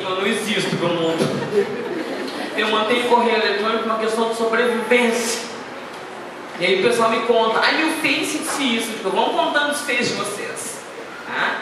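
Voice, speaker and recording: A woman giving a chatty, anecdotal talk to an audience, with self-deprecating asides. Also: medium pitch. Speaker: guest speaker. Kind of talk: informal lecture. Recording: echoey hall, speaker far from the mic, noisy.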